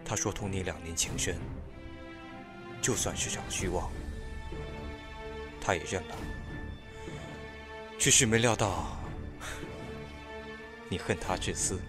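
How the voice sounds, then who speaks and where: An actor playing a solemn, sorrowful man in a fantasy audio drama, speaking in a grave, measured way. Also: deep voice. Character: solemn, sorrowful man bearing tragic news.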